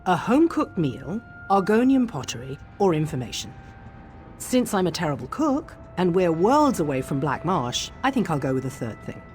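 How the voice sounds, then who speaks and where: A woman acts out a young dark elf explorer in a fantasy game, casual and wry. Her voice is on the low side with lively swings in pitch. Faint music sits behind her. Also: normal speed. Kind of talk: scripted game dialogue.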